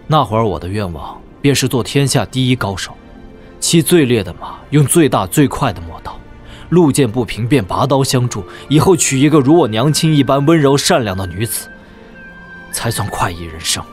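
A man playing a proud, bitter young lord turned swordsman in a wuxia audio drama, with a brooding, deliberate delivery. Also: medium pitch, animated, soft background music, lightly acted. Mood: wistful.

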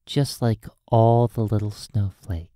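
An ASMR hypnosis roleplay by a sleep therapist speaking in a hushed, soothing manner, in drawn-out phrases with long pauses. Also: mid-range voice, fairly even intonation, no room sound, clean studio sound.

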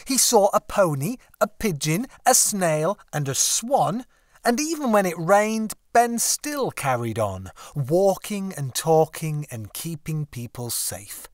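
A man narrating a children's story as an audiobook reader, with expressive, deliberate phrasing. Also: on the high side, dry recording, studio quality.

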